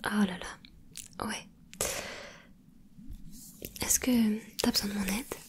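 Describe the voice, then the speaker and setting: A woman voicing a gentle, caring mermaid in an ASMR roleplay, whispering softly and reassuringly with a curious, playful touch. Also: mid-range voice, steady tone, lightly acted. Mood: soothing whisper. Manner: soft whispering, slow and soothing. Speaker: ASMR creator.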